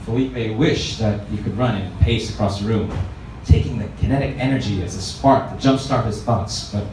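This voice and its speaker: A man's voice: lowish voice, normal speed. A man narrating a story aloud expressively, as a book reader. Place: reverberant room at a live reading event. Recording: echoey room, distant mic, faint noise.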